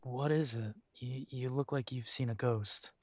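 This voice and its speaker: A man playing a troubled, tender werewolf boyfriend in an intimate roleplay audio drama, talking haltingly with sighs. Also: medium pitch, fairly even intonation, dry recording, natural voice. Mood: concerned, puzzled.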